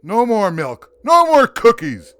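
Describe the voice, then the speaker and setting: A man's voice: very high-pitched, huge pitch swings, slow pace, a bit bright, clean tone, no room sound, full character voice. A man playing a weary, indignant Santa Claus, theatrical and grumbling, in an audio drama. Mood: indignant, defiant. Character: weary, indignant Santa Claus, big jolly boss turning rebel.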